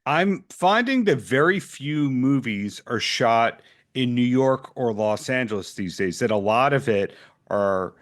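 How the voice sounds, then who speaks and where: Mid-pitched man hosting a video interview. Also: expressive, unhurried. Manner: friendly, curious questioning. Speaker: podcast host. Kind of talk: casual interview over video call. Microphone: video call.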